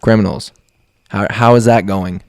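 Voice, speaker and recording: A man's voice: lowish voice, steady tone, normal speed, creaky, darkish tone, no echo, studio quality. A man co-hosting a podcast, discussing casually and emphatically, making his points with rhetorical questions. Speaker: podcast co-host.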